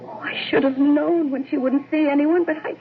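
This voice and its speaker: A woman's voice: sits high, steady tone. An actress playing an apologetic, sorrowful woman breaking terrible news in a radio drama. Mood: sorrowful, upset. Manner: sorrowful, halting. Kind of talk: 1970s radio drama acting. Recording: lo-fi old radio broadcast, faint noise.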